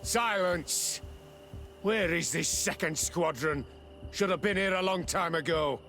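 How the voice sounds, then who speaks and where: Game villain: bullying camp officer, very slow menacing delivery, fairly high male pitch. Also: bright, forceful tone, faint music behind, full character voice. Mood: angry, impatient. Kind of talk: video game dialogue acting.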